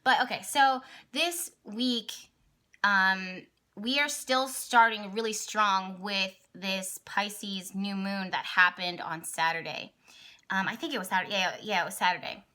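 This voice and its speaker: A young adult woman hosting an astrology video channel, hoarse and raspy today from a partly lost voice, talking in an excited, rambling way with many fillers and restarts. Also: mid-range voice, measured pace. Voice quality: raspy, voice cracks at times. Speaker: astrology vlogger and channel host. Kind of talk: casual astrology talk to camera.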